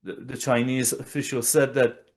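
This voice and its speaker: A man from Iran giving geopolitical analysis as an interview guest, speaking deliberately with halting pauses. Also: mid-range voice, flat delivery, a little mellow.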